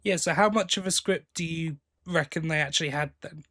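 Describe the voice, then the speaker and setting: A man's voice: a little high, brisk, sharp tone. A man hosting a podcast, talking in a casual, conversational discussion style. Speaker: podcast host.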